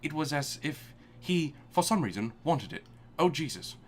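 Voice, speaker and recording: A man's voice: a little high, big pitch swings, normal speed, a little hiss or hum. A man reading a story aloud live for comedy, with an irreverent manner and amused asides. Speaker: reader of fan fiction.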